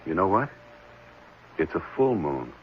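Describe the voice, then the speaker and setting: An actor playing a troubled, suspicious husband with a deliberate, brooding manner in a 1970s radio drama. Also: male voice, low voice, big pitch swings. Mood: uneasy. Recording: muffled 1970s radio broadcast, faint noise.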